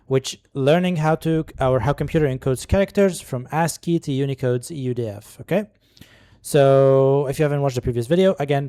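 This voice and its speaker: A man whose first language is Arabic walks viewers through a screen-recorded tech lesson, reading the course text and breaking off into casual asides. His voice is dark and mellow. The close mic sounds dry, with no room echo. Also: mid-range voice. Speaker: tutorial host.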